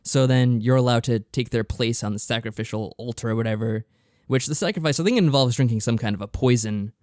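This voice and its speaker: A man's voice: medium pitch, fast, darkish tone, no room sound, studio quality. A man co-hosting a podcast, casually discussing games in a mild, matter-of-fact way. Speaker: podcast co-host.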